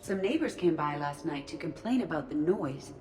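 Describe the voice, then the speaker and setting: An actress playing a stiff female officer in a video game, delivering everything formally and deadpan. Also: deep voice, normal speed.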